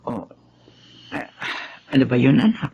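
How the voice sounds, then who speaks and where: A woman's voice: extremely low pitch, big pitch swings, measured pace, creaky, highs cut off, slight background noise, full character voice. An actress playing a concerned, easily shocked middle-aged Filipino mother in a radio drama, motherly and probing. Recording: prominent music behind the voice.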